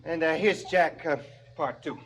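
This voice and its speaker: High-voiced, dim obedient henchman. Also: a little slow, background noise. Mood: hesitant, eager. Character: dim, obedient henchman who hauls the bodies. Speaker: radio drama actor. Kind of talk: 1970s radio drama acting.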